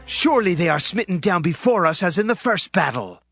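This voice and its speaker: Man acting a high-voiced, confident biblical warrior. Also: big pitch swings, brisk, gravelly, a bit bright, slightly rough, no room sound, clean studio sound, soft background music, full character voice. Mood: exultant. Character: confident Benjamite warrior exulting in battle. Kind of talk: dramatized scripture acting.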